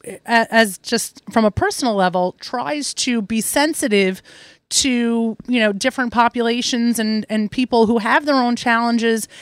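A woman's voice: fairly high pitch, a little slow, clean tone, no room sound. A woman interview host who asks long, thoughtful, winding questions with some fillers. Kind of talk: interview conversation.

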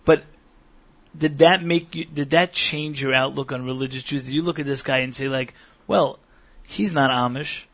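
Male co-host, mid pitch, casual and wry, muffled lo-fi audio. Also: steady tone, moderate pace, fairly bright tone. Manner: joking, stumbling restarts. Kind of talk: casual interview questions. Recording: dull lo-fi broadcast audio.

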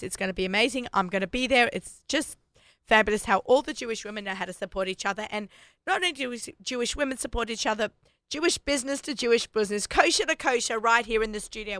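A woman hosting a radio show, chatty and enthusiastic, with a hoarse voice, talking in a studio. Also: medium pitch, fairly bright tone, no echo. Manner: enthusiastic, chatty, laughs often. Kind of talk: casual radio chat.

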